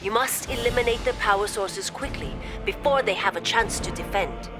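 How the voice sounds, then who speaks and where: A woman's voice: medium pitch, normal speed, close to an everyday voice. A woman playing a formal female logistics officer, giving mission briefings in a game. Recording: music playing behind.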